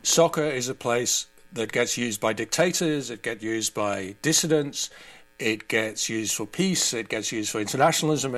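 A man's voice: mid-range voice, fairly bright tone. A man speaking as an interview guest, giving conversational analysis and commentary.

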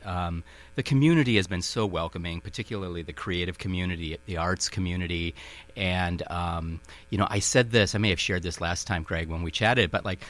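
A man's voice: low voice, a bit bright. A middle-aged man with a Minnesota accent, a museum director talking through his answers in a radio interview with lots of fillers and hedges. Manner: thoughtful, many fillers and hedges.

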